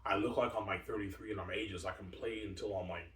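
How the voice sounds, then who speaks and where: Guy in his 40s rambling on and on, kinda low voice, stumbles a lot, sounds far off in an echoey room. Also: steady tone, fast, fairly bright tone. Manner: repetitive, stumbling, answers his own questions. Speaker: video host. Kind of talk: rambling monologue.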